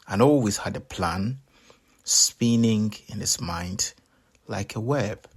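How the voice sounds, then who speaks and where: A man reading a children's story aloud as a storyteller, with expressive, deliberate and dramatic delivery. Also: fairly low pitch, vocal fry, slightly rough.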